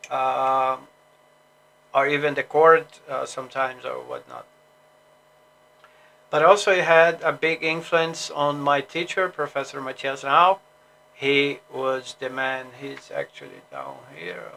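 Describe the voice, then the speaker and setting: A man's voice: a little high, creaky, pushed and bright, a little hiss or hum, low-quality recording. A man from Brazil with a Brazilian Portuguese accent, an English teacher rambling casually on a solo stream with many fillers and restarts.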